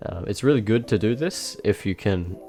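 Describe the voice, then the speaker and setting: A man with a blocked nose and a slightly nasal voice, giving relaxed, self-mocking voice-over commentary on his own match. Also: on the low side, moderate pace. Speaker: gameplay commentator. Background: faint background music.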